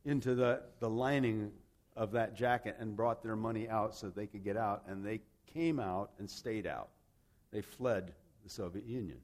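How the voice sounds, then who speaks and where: A man from Rome, Italy, preaching a sermon in an emphatic, conversational way, with rhetorical questions and repeated phrases. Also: medium pitch. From grew up in Rome, Italy, to American parents. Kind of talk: sermon with scripture and personal anecdotes.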